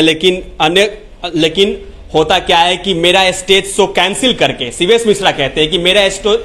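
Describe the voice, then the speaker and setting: A man with a fairly high voice delivers unscripted entertainment news to his viewers. He phrases things emphatically and repeats himself, often stopping to restart a phrase. Light noise sits behind his voice. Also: a bit bright. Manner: repetitive, emphatic phrasing with rhetorical questions. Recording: clear background noise.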